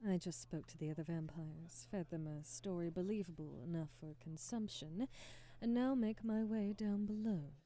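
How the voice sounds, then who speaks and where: Woman playing a dark-voiced, haughty vampire noblewoman. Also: on the low side, natural voice. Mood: weary, irritated. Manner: formal, imperious, deliberate diction. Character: haughty aristocratic female vampire, commanding, coldly indignant. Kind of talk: scripted ASMR audio roleplay.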